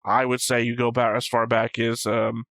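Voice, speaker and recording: A man's voice: medium pitch, completely flat delivery, moderate pace, fairly bright tone, dry recording. A man co-hosting a reaction show, chatting casually and wandering into joking tangents. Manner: rambling, joking, talks in tangents. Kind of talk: casual discussion and commentary.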